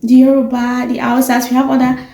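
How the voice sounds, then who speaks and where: Young nigerian woman vlogging, high mellow voice, rambly chatty, echoey room. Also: completely flat delivery, a little fast. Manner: chatty, rambling with fillers and self-corrections. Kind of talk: casual chat to camera.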